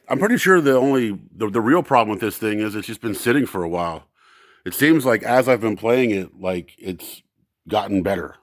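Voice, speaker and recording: A man giving a casual, unscripted demonstration, explaining things in a halting, rambling way and often correcting himself. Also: sits low, dry recording. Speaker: presenter testing vintage audio gear.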